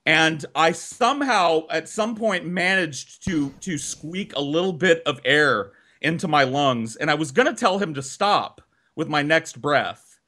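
A man in his thirties telling a personal story for laughs on a podcast, animated and dramatic, with swearing. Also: on the high side, unhurried. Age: 30s.

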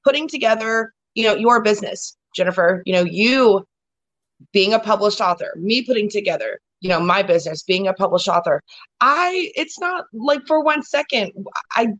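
A woman's voice: medium pitch, lively intonation, normal speed, fairly bright tone. A woman hosting a casual conversation, talking in a rambling way with lots of "you know" fillers and restarts.

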